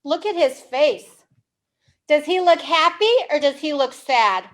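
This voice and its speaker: Slow, high-voiced woman leading children's storytime. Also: animated. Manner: exaggerated, encouraging, with simple questions to young listeners; slow, simple wording for English learners. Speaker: children's storytime librarian host. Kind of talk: animated read-aloud and teaching for young children.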